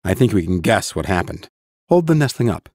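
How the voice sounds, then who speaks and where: An actor playing a stern but kindly mage teacher in an audiobook, with a measured, instructive, dryly practical manner. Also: male voice, lowish voice, sing-song, a little mellow, no echo, clean studio sound. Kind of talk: full-cast audiobook dialogue.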